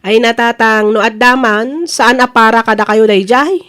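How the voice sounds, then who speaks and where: A woman with an Ilocano accent playing a brisk small-eatery woman in a comedy radio drama. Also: a little high, fairly bright tone, close to an everyday voice. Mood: brisk, practical.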